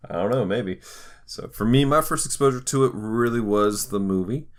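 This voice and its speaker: A man's voice: fairly low pitch, normal speed. A man hosting a podcast in a casual, conversational style. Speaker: podcast host. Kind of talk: casual chat.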